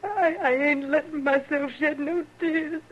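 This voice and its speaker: A woman's voice: high-pitched, steady tone, unhurried. Bitter, grieving frontier mother. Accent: rural American. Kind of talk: 1950s radio western acting. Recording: muffled, noisy old radio recording.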